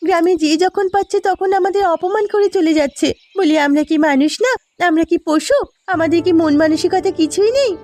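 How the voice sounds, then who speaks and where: A woman's voice: very high-pitched, brisk, a little mellow, no echo. A woman playing a weary, fretful but caring village housewife in a cartoon, complaining and pleading dramatically. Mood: upset, aggrieved. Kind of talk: Bengali cartoon voice acting. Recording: music behind the voice.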